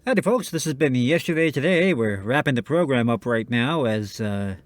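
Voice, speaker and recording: A man's voice: mid-range voice, animated, normal speed, dry recording, studio quality. A man hosting a show with casual banter. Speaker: show host.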